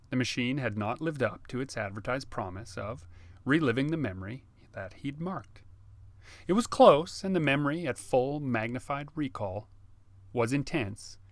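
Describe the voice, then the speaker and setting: A man narrates a novel in a measured, dramatic way, pausing between phrases. His pitch is average for a man. The recording is dry, with almost no room sound. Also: dry recording. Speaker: author reading own novel. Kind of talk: audiobook narration of fiction.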